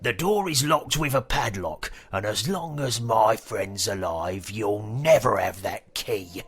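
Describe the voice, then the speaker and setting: Husky, gloating cult henchman. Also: lowish voice, expressive, exaggerated voice. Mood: smug taunting. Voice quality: rough, husky. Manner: slow, taunting. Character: defiant, gloating male cultist henchman. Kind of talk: video game voice acting.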